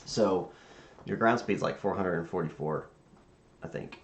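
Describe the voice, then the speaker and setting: A man with a low, creaky voice talks casually on a livestream as a hobby air traffic controller. He rambles and pauses, thinking out loud. The room sounds echoey, with faint noise behind him. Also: highs cut off. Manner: halting, rambling, thinking aloud. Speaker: streamer playing virtual air traffic controller.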